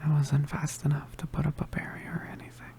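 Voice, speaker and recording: A man playing a badly injured, weary young male witch in an ASMR roleplay, murmuring haltingly in a weak, pained voice. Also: fairly high pitch, monotone, dark tone, lightly acted. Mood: weary, explaining. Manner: halting, hesitant, murmured, understated. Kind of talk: ASMR roleplay, soft intimate whispering.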